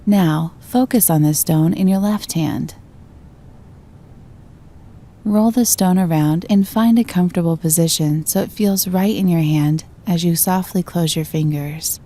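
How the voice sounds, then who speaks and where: A woman gently guiding a meditation, giving slow, soothing instructions. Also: lowish voice. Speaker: meditation guide. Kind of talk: guided meditation.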